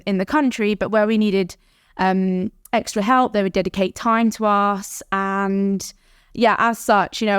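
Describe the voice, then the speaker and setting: A woman with a London accent talks in her thirties as a podcast guest, her voice smooth and clean with a dry, close sound. She tells stories in a chatty way and laughs easily. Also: mid-range voice, dry recording. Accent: London English. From South London. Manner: warm, laughs often, chatty storytelling. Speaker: guest, startup founder. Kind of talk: podcast interview.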